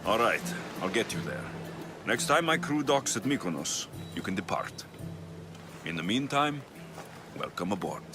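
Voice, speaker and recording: An actor playing a confident heroic mercenary ship captain in a video game, assured and direct. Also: male voice, fairly low pitch, very animated, moderate pace, over music. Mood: firm. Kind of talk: video game dialogue acting.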